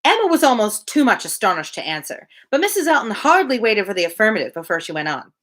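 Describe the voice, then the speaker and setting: A woman's voice: medium pitch, very animated, fast, a bit bright. A woman hosting a casual live novel reading, with informal, wry asides. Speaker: host reading a novel on a livestream.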